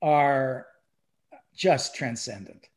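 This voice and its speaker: A man in a casual, enthusiastic conversation about film, halting and repeating words and phrases for emphasis. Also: medium pitch, a little mellow. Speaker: podcast guest or co-host discussing a film.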